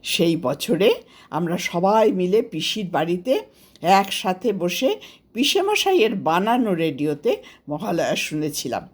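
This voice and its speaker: A woman with an Indian Bengali accent narrating a scripted story of memories in an expressive, unhurried storybook manner. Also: fairly low pitch, slightly rough. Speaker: author narrating her own story.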